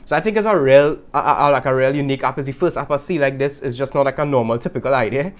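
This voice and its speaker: Fast-talking Trinidadian young man. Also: medium pitch. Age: young adult. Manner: animated, gesturing, laughing asides. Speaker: YouTube host. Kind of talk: casual vlog talk to camera. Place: small indoor room with guitars.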